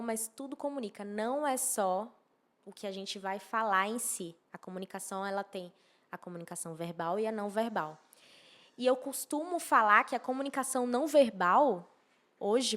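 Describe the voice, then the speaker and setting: A woman explaining things conversationally as a podcast guest, emphatically and repeating key phrases. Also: mid-range voice. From Maceió, northeastern Brazil. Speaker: podcast guest, architecture and social media professional.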